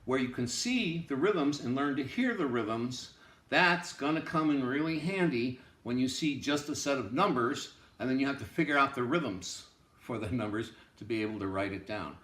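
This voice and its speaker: A man's voice: medium pitch, expressive, normal speed, fairly bright tone. A man giving an informal music lesson as a teacher, explaining emphatically and repeating himself for stress. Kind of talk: informal instructional lecture. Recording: roomy, echoing room sound.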